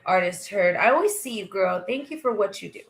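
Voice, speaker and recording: A woman's voice: mid-range voice, lively intonation, fairly quick. A woman singer chatting as a guest, telling stories with lots of laughter. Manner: laughing, bubbly storyteller. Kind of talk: casual interview chat.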